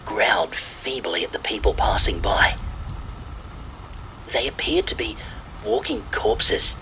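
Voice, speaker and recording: Low, forceful man narrating very slowly. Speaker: horror story narrator. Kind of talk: scripted third-person horror story narration. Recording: noisy, steady rain sounds behind. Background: rain and thunder ambience.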